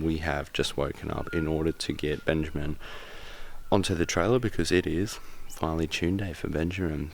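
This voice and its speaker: A sick Australian man with a rough voice chats easily to his camera in an unhurried vlog style. His voice sits extremely low. Traffic and engine noise come through clearly on the handheld recording. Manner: chatty, self-deprecating, easygoing. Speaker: vlogger. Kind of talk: casual vlog talk to camera. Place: early morning outdoors and in a car. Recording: noisy outdoor vlog audio with vehicle sounds.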